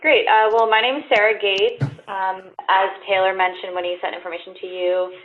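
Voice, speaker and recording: A woman's voice: mid-range voice, steady tone, a little fast. A young woman from the United States introducing herself on a video call, friendly and upbeat. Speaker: volunteer consultant. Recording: tinny phone line.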